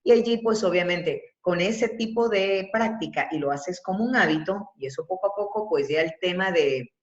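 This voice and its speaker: An Ecuadorian woman teaches vocal exercises in an online webinar. Her voice sits a little low for a woman and she explains with emphasis. The sound is muffled, with clear room echo. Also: expressive, normal speed. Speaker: university professor and voice coach. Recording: muffled, echoey webinar audio.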